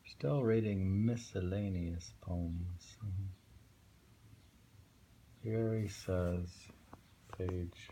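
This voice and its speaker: A middle-aged man reads and discusses poems in a musing, unhurried way. His voice is low and gravelly, with a soft, mellow tone. It is a casual home recording with faint noise behind him. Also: poor audio. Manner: unhurried, musing, repeats phrases. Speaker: host reading and discussing poetry. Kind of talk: casual poetry reading with commentary.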